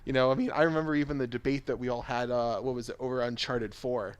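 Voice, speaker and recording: A man's voice: medium pitch, fairly even intonation, fast, clean tone. A man back as a returning guest on a gaming podcast, talking in an easygoing way full of "you know" and "I mean". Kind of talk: casual chat.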